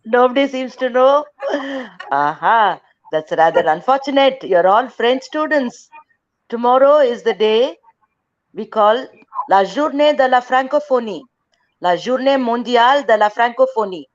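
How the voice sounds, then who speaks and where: A woman's voice: fairly high pitch, lively intonation, unhurried. A middle-aged woman teaching French students in an online lecture, quizzing them, laughing often and hesitating with fillers. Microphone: video call. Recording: dull lo-fi call audio.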